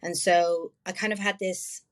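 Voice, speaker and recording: Reflective woman, low voice. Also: steady tone, measured pace. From London, England. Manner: flowing, reflective, long elaborate sentences. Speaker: wellness practitioner, guest. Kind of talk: interview answers.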